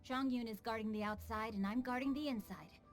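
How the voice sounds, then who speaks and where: Woman voicing composed determined game heroine official, bit high pitched, formal and sure of herself. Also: brisk, faint music behind, lightly acted. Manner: formal, assured, commanding. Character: young, composed, determined female official, confident and brisk. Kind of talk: animated video game acting.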